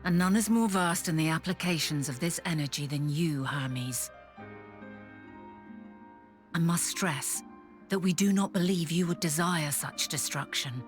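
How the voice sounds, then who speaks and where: Low-voiced, solemn noblewoman. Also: faint music behind. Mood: solemn, tactful. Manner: formal, tactful, deliberate. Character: dignified ancient woman leader, solemn and diplomatic. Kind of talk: video game cutscene acting.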